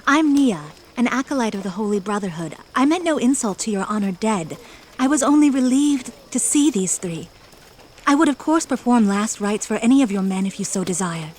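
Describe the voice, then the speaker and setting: Woman playing a high-voiced, earnest holy acolyte. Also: expressive, normal speed, a little mellow, slight background noise, close to an everyday voice. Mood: relieved, earnest. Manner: polite, formal, earnest. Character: earnest, devout young female acolyte. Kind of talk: fantasy audio drama acting.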